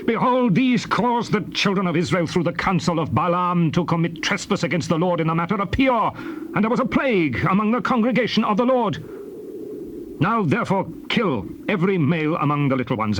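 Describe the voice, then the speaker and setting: High-voiced stern Moses. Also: male voice, a little hiss or hum. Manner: declamatory, authoritative. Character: Moses, stern forceful male leader and prophet. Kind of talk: dramatized scripture acting.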